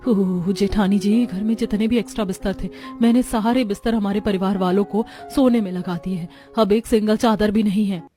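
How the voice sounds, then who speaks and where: A woman performing family dialogue for an animated story. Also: medium pitch, fairly quick, soft background music. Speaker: voice of the women of a family. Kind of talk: acted Hindi cartoon story dialogue.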